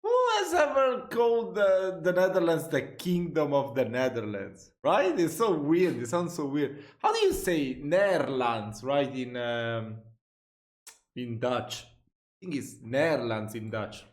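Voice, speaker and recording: Guy reacting to a vid, kinda high voice, jokey and laughing, roomy echo. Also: lively intonation. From Italy. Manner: chatty, jokey, quick to laugh and swear. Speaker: reaction video host. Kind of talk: casual reaction commentary. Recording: echoey room.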